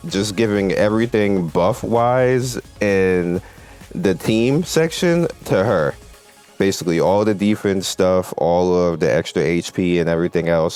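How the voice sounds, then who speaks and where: A man's voice: sits low, darkish tone, clear, resonant tone. A man hosting a gaming channel, giving casual, off-the-cuff commentary in a blunt, opinionated way over gameplay. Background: game music.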